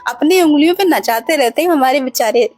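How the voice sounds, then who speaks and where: A woman's voice: high voice, a little fast, darkish tone, no room sound. A woman narrating a chatty fan recap in a gossipy way, often turning to address her fellow fans directly.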